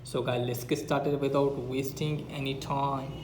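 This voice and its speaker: A man's voice: mid-range voice, level tone, measured pace, a little mellow. A man narrating a step-by-step phone app tutorial with repetitive instructional phrasing. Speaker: tech tutorial YouTuber. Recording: roomy echo, faint background noise.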